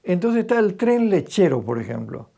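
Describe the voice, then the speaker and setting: A man's voice: high-pitched, sing-song, normal speed, creaky, dark tone. An older man with a Paraguayan accent recounting anecdotes in an interview, adding small tag questions for agreement. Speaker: interviewee, cultural radio broadcaster.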